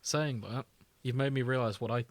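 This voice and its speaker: A man's voice: medium pitch, moderate pace, no echo. A man co-hosting a podcast in casual chat, telling stories with mock quotes. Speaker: podcast co-host.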